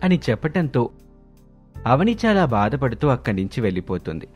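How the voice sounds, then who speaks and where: A man's voice: medium pitch, big pitch swings, moderate pace, husky. Male storyteller over background music. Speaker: narrator. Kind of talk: scripted story narration. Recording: music behind the voice.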